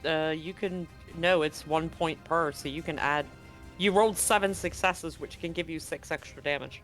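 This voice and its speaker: This is a game master running a live tabletop horror game from a home setup. The delivery is easygoing and joking, shifting into slower, measured narration for the scene, while faint music plays in the background. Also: mid-range voice, low-quality recording. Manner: relaxed, joking, slows for vivid scene narration.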